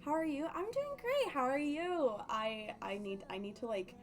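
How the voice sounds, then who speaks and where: A woman's voice: high voice, lively intonation, moderate pace. A woman chatting casually on a game livestream in a rambling, giggly, slightly nervous way. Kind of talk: casual game stream chat.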